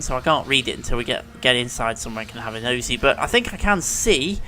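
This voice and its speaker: A man's voice: on the high side, pushed and bright, a little hiss or hum. A man with a cold and a sore throat, chatting and thinking aloud as he commentates his gameplay at home. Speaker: let's play commentator. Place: living room at home.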